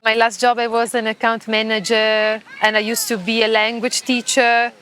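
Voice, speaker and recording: A woman's voice: fairly high pitch, completely flat delivery, normal speed, fairly bright tone, slight background noise. A woman in her thirties with an Italian accent, answering interview questions on a beach, hesitant and often laughing. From Italy. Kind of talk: casual street interview. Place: beach, outdoors.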